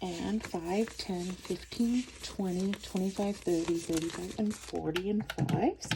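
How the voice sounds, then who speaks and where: A woman's voice: lowish voice, fairly even intonation, unhurried, gravelly, noisy background. Stuffy-nosed woman chatting over her budget. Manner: chatty, counts bills aloud. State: stuffed up from a cold. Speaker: budgeting vlogger. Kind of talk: casual chat while counting cash.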